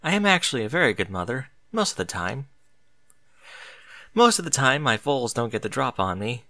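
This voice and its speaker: A man voicing a flustered, kindly female pegasus pony mother in a story reading, halting and embarrassed. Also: mid-range voice, sing-song, pushed and bright, no room sound, studio quality.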